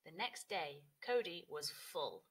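A woman's voice: low-pitched, big pitch swings, takes it slowly, fairly bright tone, slightly rough, poor audio. A woman in her thirties, a teacher, narrating a children's story expressively.

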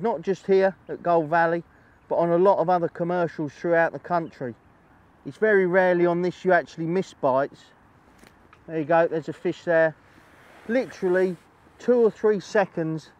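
Higher-pitched man, chatty instructor. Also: a little mellow, muffled recording. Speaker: angling presenter. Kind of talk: instructional fishing demonstration, chatty. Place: outdoors on a lakeside bank.

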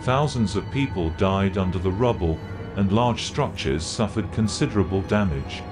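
A man reading scripted documentary-style horror narration in a measured, factual way. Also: sits low, lively intonation. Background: music.

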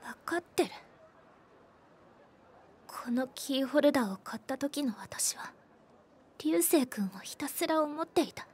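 A woman's voice: high voice, animated, a little slow, pauses often, a little mellow. An actress playing a timid, lovelorn young woman in a radio drama, wistful and introspective, moving between narration and dialogue. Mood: anguished.

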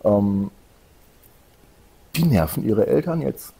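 A man's voice: lowish voice, animated, takes it slowly, vocal fry, warm tone, husky. A middle-aged man talking casually as an interview guest about his own work. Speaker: guest, community project organiser. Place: indoor event room with collection displays.

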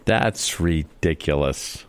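A man's voice: a bass voice, takes it slowly, clear, resonant tone. A man acting as a stubborn, well-meaning, old-fashioned dad in a family radio comedy.

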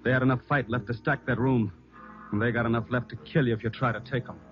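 An actor playing a shifty small-time crook in 1950s radio drama, defensive and streetwise, evasive and scared under questioning. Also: male voice, mid-range voice, steady tone, quick pace, over music, lightly acted. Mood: warning. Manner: defensive, slangy streetwise talk. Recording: muffled old radio recording.

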